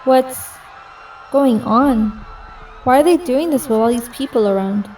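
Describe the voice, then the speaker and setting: A woman's voice: high-pitched, unhurried, a little mellow, recorded from a distance, rough recording. An actress playing a disillusioned young soldier narrating her thoughts in an audio drama. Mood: shocked, anguished. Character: disillusioned young woman soldier on covert mission. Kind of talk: first-person audio drama inner narration.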